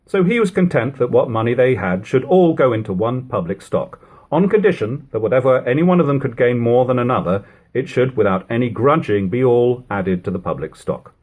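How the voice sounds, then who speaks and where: A man reading an old novel aloud as an amateur audiobook narrator, in measured, formal storytelling with expressive delivery, on a lo-fi home recording. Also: medium pitch.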